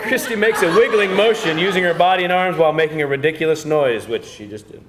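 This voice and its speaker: A man's voice: on the high side, fast talker. A man reading out stage directions at a live screenplay table read. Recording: echoey room with faint noise.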